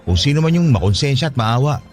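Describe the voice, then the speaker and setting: A man's voice: medium pitch, lively intonation, quick pace, soft background music, close to an everyday voice. A man with a Filipino accent playing a poor, defensive father in an emotional, argumentative radio drama. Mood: evasive, irritated. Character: poor, desperate, defensive father struggling to feed his family. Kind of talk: Tagalog radio drama acting.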